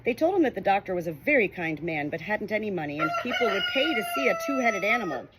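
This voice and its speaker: A woman reading a children's audiobook aloud in an expressive, informal way. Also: medium pitch, quick pace, heavy background noise. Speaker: audiobook reader. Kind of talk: children's storybook narration.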